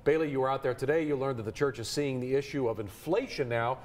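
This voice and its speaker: A middle-aged man anchoring the news in a TV studio, with a smooth, brisk delivery. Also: mid-range voice, a little mellow.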